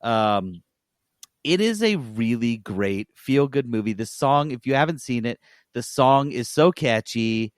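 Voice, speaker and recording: A man with an average-pitched voice talks casually as a podcast co-host. He is conversational and repeats points for emphasis. The recording is close and dry. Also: expressive, measured pace, no room sound. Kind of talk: casual review-style podcast chat.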